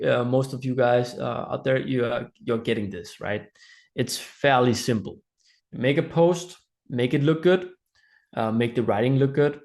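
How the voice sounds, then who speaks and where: A man's voice: medium pitch, a little mellow. A man with a Danish accent presents a live online training in an informal, explanatory way, often checking in with listeners. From Denmark.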